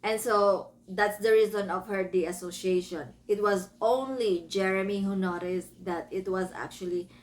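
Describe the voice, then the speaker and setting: A woman from the Philippines with a Filipino accent, speaking English as a second language, gives a casual book review, rambling and correcting herself as she retells a story. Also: medium pitch. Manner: rambling, self-correcting, breaking off into asides.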